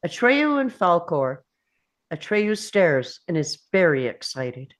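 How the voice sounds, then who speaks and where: A woman serves as narrator, reading scene descriptions from a script. She takes her time, with a slightly low pitch. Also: sing-song, takes it slowly. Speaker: narrator reading stage directions.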